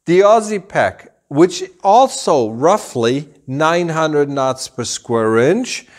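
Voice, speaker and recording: A man in his forties, raised in Germany, a rug dealer explaining things to camera in a showroom, slow and deliberate with gestures. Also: fairly high pitch. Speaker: rug dealer and channel host. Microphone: camera at a few meters, full body framing.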